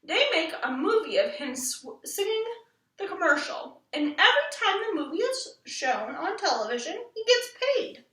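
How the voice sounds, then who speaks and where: A woman's voice: very high voice, very animated, moderate pace, bright, forceful tone, voice pushed up, full character voice. A woman voicing a worried, grumbling father in a storybook, matter-of-fact and mildly scolding. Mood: grumbling, explaining. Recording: roomy echo, distant from the mic.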